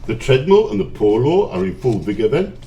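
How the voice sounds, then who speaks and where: Male actor as cold-hearted old miser, grumpy, scornful, curt, widely swinging pitch, audio play. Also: sing-song, brisk, dark tone, lo-fi sound, slight background noise.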